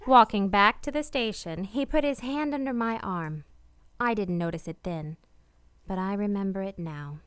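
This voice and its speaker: A woman's voice: medium pitch, fairly bright tone, smooth, close to an everyday voice. An actress playing an earnest, guilt-ridden married woman in a radio play, speaking in a refined, hesitant way. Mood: wistful narrating. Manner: hesitant, earnest, refined, with halting repeated words. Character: married woman, anxious, guilt-ridden, tenderly confessing a secret love. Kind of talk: radio play acting with confessional narration.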